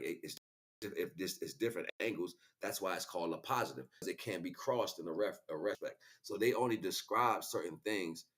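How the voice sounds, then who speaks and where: Middle-aged male livestreamer, low-pitched, casual, conversational, loosely rambling spiritual talk. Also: fairly bright tone. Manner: conversational, frequent "you know what I'm saying" tags. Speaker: livestream host.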